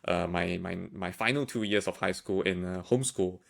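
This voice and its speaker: A young man hosting a podcast, speaking in a halting, friendly way with many repeated words. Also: low voice, vocal fry. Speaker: podcast host. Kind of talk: casual conversational interview.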